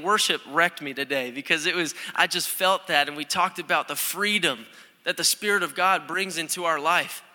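A young male pastor with a very bright, forward voice, speaking a touch higher than most men. He preaches in a loose, conversational way, stumbling and restarting as he builds energy. The long reverb of a church hall trails behind him. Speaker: student pastor. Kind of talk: sermon. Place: church sanctuary.

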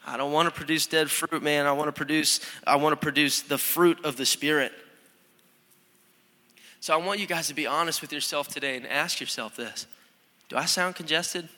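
A young man, a student pastor, preaching a conversational, energetic sermon with frequent restarts, recorded in a church sanctuary. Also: on the high side, moderate pace. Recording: long hall reverb.

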